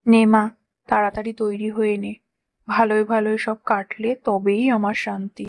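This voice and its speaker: A woman's voice: medium pitch, completely flat delivery, normal speed, gravelly, no room sound, lightly acted. A woman voicing a poor, anxious, respectful village mother in a cartoon drama. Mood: fussing. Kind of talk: Bengali cartoon drama dubbing.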